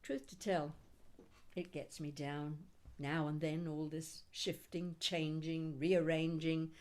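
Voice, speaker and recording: A mature woman reading her own poetry expressively, with deliberate phrasing and dramatic pauses. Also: sits low, little pitch movement, a little mellow. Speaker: poet reading own work.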